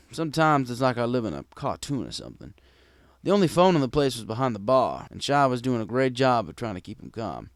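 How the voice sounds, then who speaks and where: A man's voice: mid-range voice, dry recording, studio quality. A man reading a first-person story in a wry, conversational storytelling manner. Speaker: fan fiction reader.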